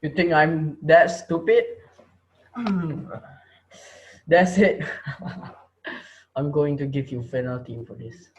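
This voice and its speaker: Taunting, higher-voiced villain teacher, acted by a man. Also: slow pace, long pauses, dull audio. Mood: gleeful menace. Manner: taunting, laughs at his victims. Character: menacing male teacher holding his class hostage, mocking, taunting. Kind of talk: amateur reader's theater acting.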